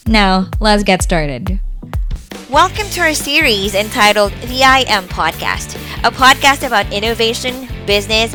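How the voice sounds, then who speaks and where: A woman hosting a podcast, reading out a guest introduction in a measured way and opening with a question. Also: mid-range voice, a bit bright. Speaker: podcast host. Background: faint music.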